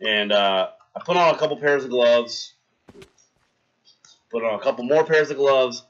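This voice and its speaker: A man's voice: medium pitch, a little slow, lo-fi sound. A young man hosting a livestream, telling a rambling story in casual chat and talking to his viewers. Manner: rambling anecdote, talks to chat, shouts out followers. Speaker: livestream host.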